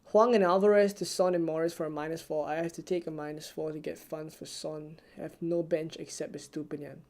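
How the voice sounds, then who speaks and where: A streamer from Singapore, answering viewer questions in a live stream with a soft, low-key, almost ASMR-like delivery. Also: flat delivery, fairly quick. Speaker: fantasy football streamer.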